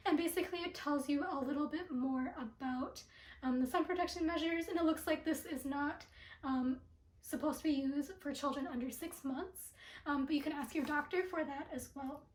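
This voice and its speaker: A young woman with a voice raspy from allergies, chatting through a beauty product review with lots of ums and likes. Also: high-pitched, normal speed. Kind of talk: casual product review vlog. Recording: echoey room.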